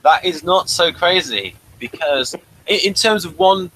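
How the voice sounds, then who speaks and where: A man's voice: high-pitched, lively intonation, measured pace, background noise. A man hosting a casual review chat, rambling along with jokey asides. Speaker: host of an audio drama review show.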